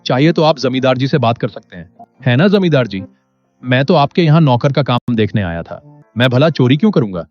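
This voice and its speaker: A man's voice: mid-range voice, big pitch swings, brisk, lightly acted. Humble, earnest farmer played by a man, slightly muffled. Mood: alarmed, pleading. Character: naive, honest poor farmer, humble newcomer. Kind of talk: animated moral story character acting. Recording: dull lo-fi sound under music.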